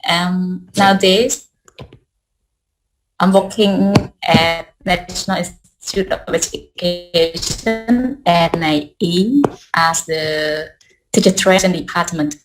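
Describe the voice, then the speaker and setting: A woman's voice: fairly low pitch, slow. A woman who works as a teacher trainer, introducing herself formally and a little hesitantly over a video call. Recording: noisy video call line.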